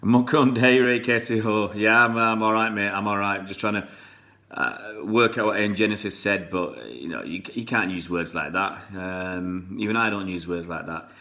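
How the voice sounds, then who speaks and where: A man's voice: on the low side, normal speed, bright, forceful tone. A middle-aged man chatting casually on a live stream, greeting viewers by name and rambling between comments. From born in Pakistan, raised in England. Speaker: fan channel live streamer.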